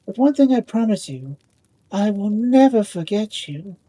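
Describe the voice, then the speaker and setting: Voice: medium pitch, big pitch swings, a little slow, mellow, no room sound, voice pushed up. A storyteller voicing a vain, prim young lady ball in a fairy tale, with an airy, self-regarding manner. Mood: haughty, coy.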